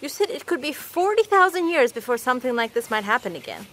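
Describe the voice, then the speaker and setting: A woman's voice: high-pitched, very animated, quick pace, a bit bright, slight background noise. An actress playing a caring, practical woman survivor in a naturalistic audio drama.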